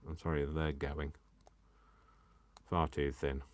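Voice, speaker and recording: Deep-voiced chatty English crafter. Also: male voice, level tone, slow pace. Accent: Coventry English, worn by years in Yorkshire. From England. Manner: chatty and self-mocking, talks to himself and the viewers. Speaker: crafting livestreamer. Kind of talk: live crafting demonstration chat.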